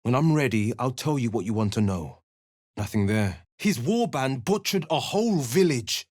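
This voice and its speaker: Game role: righteous young male hero, voiced by a man; earnest, lively delivery, dry close sound. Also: medium pitch, normal speed, no echo, lightly acted. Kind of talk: video game character lines.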